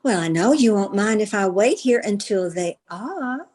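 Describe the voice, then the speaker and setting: A woman's voice: mid-range voice, expressive, moderate pace, darkish tone, natural voice. An actress as a flirtatious society lady in a vintage radio comedy. Her voice swoops expressively as she coaxes, gushes and pushes coyly to get her way. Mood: coy, fishing. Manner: coaxing, insistent, gushing. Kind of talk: old-time radio comedy acting.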